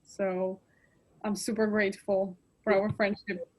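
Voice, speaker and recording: A woman's voice: medium pitch, unhurried. A woman in her thirties from Poland, speaking English, chatting casually and listing details expressively. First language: Polish. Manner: expressive, lists details, frequent "like" fillers. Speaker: guest. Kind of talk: casual chat.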